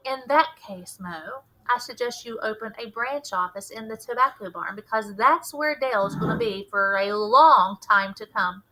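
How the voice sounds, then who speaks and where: Woman putting on a stern, fed-up Southern mom, bright voice. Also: a little high, moderate pace, hardly any pauses, poor audio. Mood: firm. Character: stern, fed-up Southern mother, worried and sharp.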